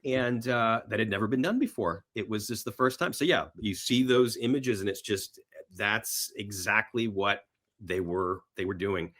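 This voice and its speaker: A man's voice: lowish voice, a bit bright. Enthusiastic, rambling man talking film. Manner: enthusiastic, halting and rambling, acts out imagined reactions. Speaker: podcast guest, filmmaker. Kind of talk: casual film talk in an interview.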